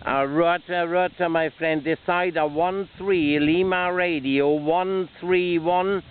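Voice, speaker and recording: A man's voice: fairly high pitch, steady tone, slow, clean tone, phone-quality sound. A man with a German accent making a CB radio contact, repeating phrases in courteous radio procedure. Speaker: amateur CB radio operator.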